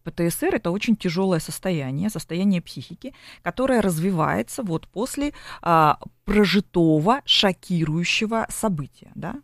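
A woman with a gravelly, fry-edged voice explains her subject patiently, like a lecturer, often tagging her sentences with a quick question to her listener. She speaks as an expert guest in a broadcast interview, and the recording is dry and close with little room sound. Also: fairly low pitch, lively intonation, no room sound. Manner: lecturing, with frequent tag questions. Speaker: psychologist guest.

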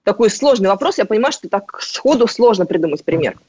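A podcast host interviewing a guest and relaying listener questions with relaxed curiosity. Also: mid-range voice, quick pace, slightly rough, no room sound. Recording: dry, muffled lo-fi audio.

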